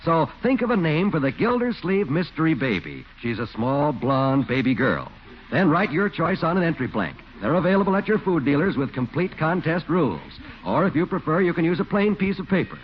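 Man, 1940s radio announcer, high pitched, animated, emphatic selling, dull old recording. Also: fairly quick, slight background noise. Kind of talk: 1940s radio contest advertisement.

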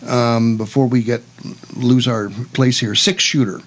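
A man hosting a casual radio talk show, partly reading from notes in a halting way with repeated words. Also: medium pitch, steady tone.

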